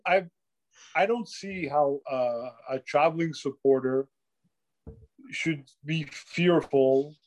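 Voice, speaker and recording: A man on a sports talk panel, emphatic and animated, who swears freely. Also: a little high, poor audio. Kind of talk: casual sports chat.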